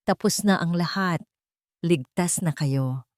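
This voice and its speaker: A woman's voice: on the low side, takes it slowly, dry recording, studio quality. A woman voicing the firm, protective lead of a love story, a wronged grown child speaking earnestly. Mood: relieved, tender.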